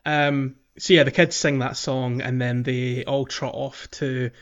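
A man's voice: mid-range voice, flat delivery, a little slow, clear, resonant tone. A man co-hosting a podcast, chatting casually about a video game, recorded on a slightly muffled mic. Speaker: podcast co-host.

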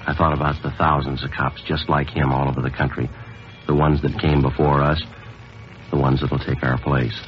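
An actor playing a stoic, grieving police sergeant in an old radio drama, speaking in a terse, understated, deadpan way. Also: male voice, a bass voice, normal speed. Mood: somber. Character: stoic male police sergeant, grieving his longtime partner. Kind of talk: 1950s radio acting, clipped scripted narration. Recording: muffled old radio broadcast, music behind.

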